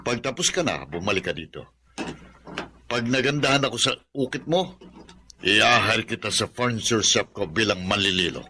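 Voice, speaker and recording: An actor playing an encouraging middle-aged uncle who owns a shop, talking in a folksy way in a radio drama. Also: male voice, fairly low pitch, animated, normal speed, sharp tone, husky, full character voice. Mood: businesslike.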